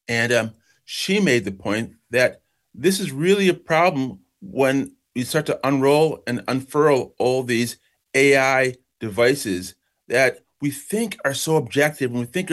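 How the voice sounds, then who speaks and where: Measured, reflective male author. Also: medium pitch. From Jamaica, raised in New York state. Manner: reflective, measured, slows for emphasis. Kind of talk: interview answers, storytelling.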